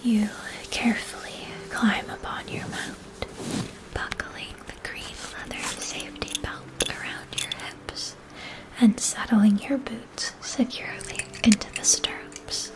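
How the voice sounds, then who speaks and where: A woman whispering gently and unhurriedly, narrating a scripted ASMR fantasy roleplay in a breathy, unvoiced voice. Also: fairly high pitch, little pitch movement, slow, low-quality recording.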